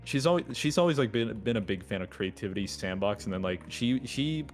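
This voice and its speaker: A man's voice: fairly low pitch, animated, brisk, faint music behind. A man in his twenties, a podcast guest, chatting casually and rambling with lots of filler words. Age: 20s. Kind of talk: casual chat.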